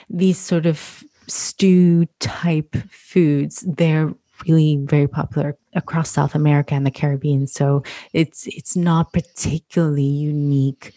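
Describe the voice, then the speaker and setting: A woman's voice: sits low, steady tone, unhurried, mellow, no echo. A woman guest on a podcast, talking casually about her family, laughing easily and repeating words as she goes. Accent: London English. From Curacao. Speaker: podcast guest, food photographer. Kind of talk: casual conversation.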